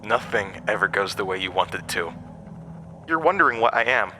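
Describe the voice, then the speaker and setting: Man playing a sharp, taunting, undying entity. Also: fairly low pitch, lively intonation, moderate pace, a little hiss or hum, poor audio. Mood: menacing, amused. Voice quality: broken-windpipe voice, borrowed from another man. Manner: mocking, theatrical, cryptic, chuckling asides. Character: mysterious undying male entity in a hat, taunting, weary. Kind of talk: horror audio drama acting.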